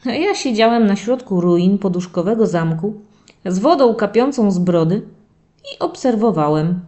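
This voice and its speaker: A woman voicing a playful young male terrier puppy who tells stories from his diary to children in an expressive, dramatic way. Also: mid-range voice, lightly acted. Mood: playful, warm. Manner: expressive, drawn-out dramatic telling addressed to children. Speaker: narrator of a children's audio story series. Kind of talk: animated first-person children's storytelling.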